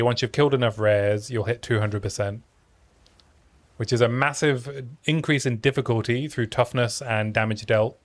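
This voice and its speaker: A young man with a soft, soothing voice fit for bedtime stories. He talks easily and casually, mid-pitched, breaking off from play to answer his chat. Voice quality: soothing sleep-story voice. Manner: relaxed, chatty, answering chat between plays. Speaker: game streamer. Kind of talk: casual live-stream commentary while playing.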